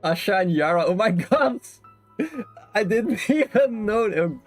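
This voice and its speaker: A man's voice: sits high, animated, takes it slowly. A man streaming a game with casual, rambling, joking and giggly commentary. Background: game music.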